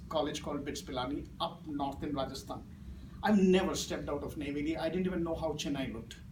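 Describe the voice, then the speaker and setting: A middle-aged man with an Indian English accent, a native Malayalam speaker, tells stories to a club audience, moving between grave and playful. His voice sits fairly high. The recording is roomy and distant, with steady noise behind it. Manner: storytelling, swings from grave to playful. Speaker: guest speaker. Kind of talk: public speech at a club meeting. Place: meeting room with audience. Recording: echoey room from far off, noisy.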